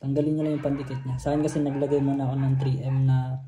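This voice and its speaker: A Filipino man giving a casual, halting hands-on tutorial, step by step, like an informal vlogger. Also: mid-range voice, level tone, dark tone, highs cut off. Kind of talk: casual hands-on tutorial, mixing Tagalog and English. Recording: roomy echo, noticeable background noise.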